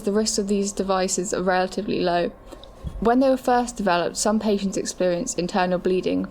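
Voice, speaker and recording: A woman's voice: mid-range voice, a little mellow, a little hiss or hum, natural voice. A woman playing a matter-of-fact medical adviser, reading scripted explanations in an audio drama. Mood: reassuring, matter-of-fact.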